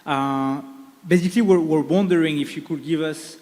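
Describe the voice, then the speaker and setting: A man opens a conference panel, upbeat and halting, with frequent fillers. His voice sits a little high. It rings out with the long reverb of a large hall. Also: darkish tone. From France. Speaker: panel moderator.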